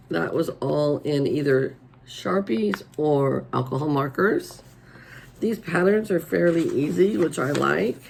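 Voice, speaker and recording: A raspy-voiced middle-aged woman hosting a casual show-and-tell, chatty and homey, filmed at her table at home. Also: sits low, darkish tone, a little hiss or hum. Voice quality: raspy today. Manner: chatty and homey, many ums. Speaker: coloring channel host. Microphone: overhead camera over the table.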